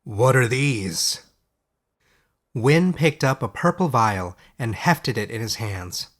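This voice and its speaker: A man reading scripted fairy-tale audiobook narration in a measured storytelling manner. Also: medium pitch, very animated, fairly bright tone.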